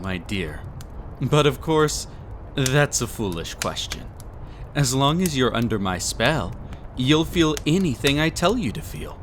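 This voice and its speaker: Man acting a smug, possessive prince, slow and swooping. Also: mid-range voice, noisy background. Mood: smug, doting. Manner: silky, condescending, theatrical, with breathy chuckles. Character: possessive, smug young prince with hypnotic magic. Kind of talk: scripted romantic audio roleplay.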